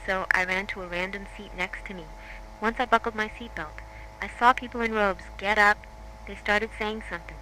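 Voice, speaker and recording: A bright, forceful female voice over faint noise. An actress plays a shaken survivor in a horror drama, recounting events plainly and in order at a middling pitch. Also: close to an everyday voice, poor audio. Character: shaken female plane crash survivor giving testimony. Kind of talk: scripted first-person account in horror drama.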